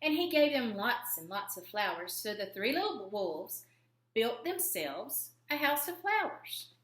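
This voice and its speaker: Woman reading a kids story, highish lively voice, dramatic pauses, bit echoey room. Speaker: story reader. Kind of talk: expressive children's storybook narration.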